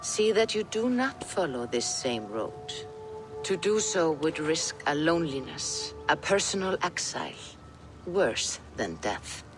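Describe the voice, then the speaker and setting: A woman playing a wise, mystical Norse seeress in a video game, gently probing and counselling in formal, deliberate speech. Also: sits low, big pitch swings. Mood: warning. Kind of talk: dramatic video game cutscene acting. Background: music.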